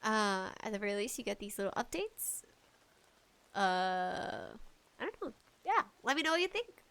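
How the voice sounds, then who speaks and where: A woman with a nasal, congested voice hosting a casual, rambling solo podcast in an animated, pleading way with self-deprecating asides. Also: mid-range voice, moderate pace, clean tone. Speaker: podcast host.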